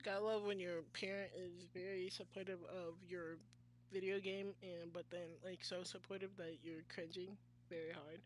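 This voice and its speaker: A young woman streamer giving casual, slangy, excitable reactions while she plays a game. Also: lowish voice, normal speed, slight background noise, rough recording. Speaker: gaming streamer.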